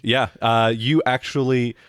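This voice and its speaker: A man narrating a tabletop role-playing game as game master, improvising and often pausing to search for words. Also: medium pitch, steady tone, a bit bright, clean tone, no room sound, clean studio sound.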